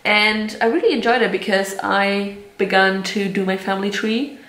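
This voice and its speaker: Young woman vlogger, mid-pitched, chatty and unfiltered, recorded in a roomy space. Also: normal speed, fairly bright tone. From Germany. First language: German. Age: 20s. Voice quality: chatty, candid, laughs often, swears casually. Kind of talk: casual chat to camera. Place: at home, indoor room. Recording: roomy echo.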